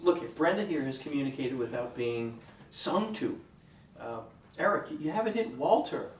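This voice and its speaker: A man's voice: mid-range voice, lively intonation, moderate pace, distant mic, close to an everyday voice. Man acting pleading male therapist, encouraging, hesitant, comedic, echoey room, faint noise. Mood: placating. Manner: hesitant, placating.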